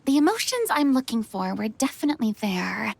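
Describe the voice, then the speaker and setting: Exuberant, high-voiced young female film director. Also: a little fast, gravelly, no room sound, full character voice. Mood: pleased, encouraging. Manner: theatrical, commanding, expressive. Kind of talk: animated game voice acting. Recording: music behind the voice.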